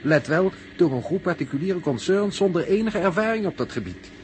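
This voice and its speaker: A man's voice: sits high, expressive, brisk, darkish tone. An actor playing a logical, sceptical crewman in a 1960s Dutch radio drama, speaking in a methodical, precise way. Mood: reasoning, insistent. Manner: methodical, enumerating, pedantically precise. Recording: faintly noisy 1960s radio recording.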